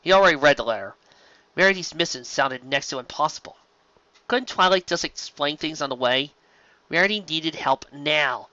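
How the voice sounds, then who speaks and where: A woman's voice: sits low, expressive, moderate pace, pushed and bright, dry recording. A woman narrating a fan fiction story expressively. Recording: dull lo-fi close dry recording.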